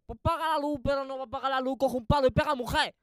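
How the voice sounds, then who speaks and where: A man's voice: very high-pitched, fairly even intonation, very fast, fairly bright tone, pitched above the performer's own voice. A man putting on an exaggerated mock Chinese accent in broken grammar for a joke. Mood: shrill joking. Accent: put-on mock Chinese accent. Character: mocking stereotyped foreign-accent caricature of a man.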